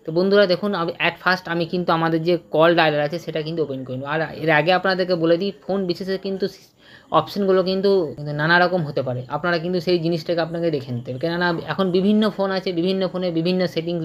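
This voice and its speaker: A man's voice: high voice, highs cut off. A man with an Indian Bengali accent giving an informal, unscripted tutorial, repeating himself and stumbling over words as he checks that listeners follow. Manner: repetitive, stumbling, with frequent tag questions. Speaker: tech tutorial presenter.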